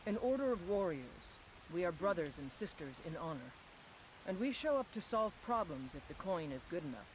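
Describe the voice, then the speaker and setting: A woman's voice: fairly low pitch, sing-song, moderate pace, poor audio. A woman playing a proud, battle-hardened mercenary warrior in a fantasy video game, with a haughty, clipped manner. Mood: stern, proud. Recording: clear hiss and rain behind.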